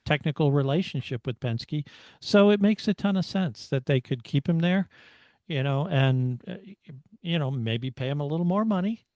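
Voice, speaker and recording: A middle-aged American man co-hosting a motorsport podcast, talking through his analysis in a casual, measured way as he thinks aloud. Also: fairly high pitch, animated, a little mellow, no room sound. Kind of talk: casual race analysis chat.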